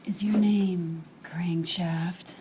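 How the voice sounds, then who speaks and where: An actress playing a seductive, impatient femme fatale in a comic noir radio play, speaking in a sultry, teasing way. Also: female voice, on the low side, fairly even intonation, drawn-out words, mellow, slight background noise. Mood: impatient, cool. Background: music.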